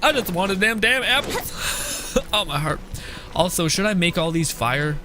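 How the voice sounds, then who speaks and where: A man's voice: high voice, lively intonation, moderate pace, music in the background. A man giving casual, rambling gameplay commentary as a streamer, swearing freely and mocking as he goes. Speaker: video game streamer.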